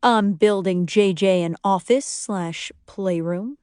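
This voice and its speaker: A woman narrator plays a supportive young husband with straight-faced wit. He comes across deadpan and good-natured, in audiobook dialogue with a dry, close sound. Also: medium pitch, moderate pace, no room sound, close to an everyday voice. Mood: proud.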